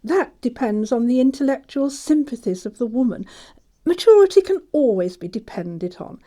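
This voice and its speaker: A woman's voice: a little high, lively intonation, normal speed, mellow, natural voice. A woman playing a prim middle-aged governess in an audio stage comedy, pompous and sententious, with coy amorous hints. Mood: coy, lecturing.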